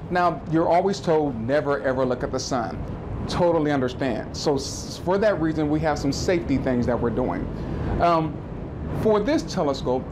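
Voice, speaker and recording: A man's voice: on the high side, background noise. Chatty man guiding a tour. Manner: friendly, chatty, with small restarts and asides. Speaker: planetarium manager giving a tour. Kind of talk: informal guided tour explanation. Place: rooftop observatory outdoors, then indoor exhibit room.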